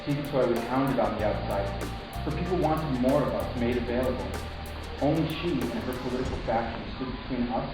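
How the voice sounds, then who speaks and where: A man playing a reflective young son who narrates his family's past in a measured, literary dramatic reading. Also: mid-range voice, close to an everyday voice. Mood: grave, reflective. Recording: echoey, distant, music underneath. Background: music.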